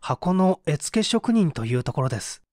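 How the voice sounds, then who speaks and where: A man's voice: fairly high pitch, very animated, normal speed, darkish tone, no echo, clean studio sound. An actor playing a bitter, defensive painter in his thirties under interrogation, aggrieved and self-justifying, in a radio drama. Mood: defensive, resentful. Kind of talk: dramatized mystery reading.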